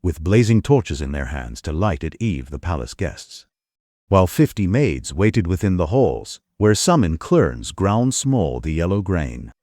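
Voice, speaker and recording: A man's voice: sits low, sing-song, a little slow, warm tone, no echo, studio quality. A man giving formal, dramatic narration of an epic audiobook. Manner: formal storytelling.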